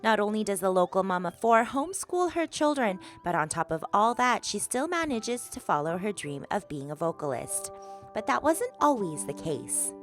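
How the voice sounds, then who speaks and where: A woman's voice: mid-range voice, sing-song, moderate pace. A woman reporter narrating a local TV feature in a polished, upbeat scripted delivery. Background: music.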